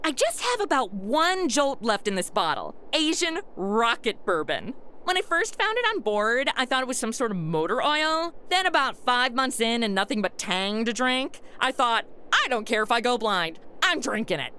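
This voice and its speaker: A woman's voice: on the high side, very animated, moderate pace, bright, forceful tone, smooth. An actress playing a sassy modern young woman in a comedic radio drama, sarcastic and slangy. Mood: wry, chatty.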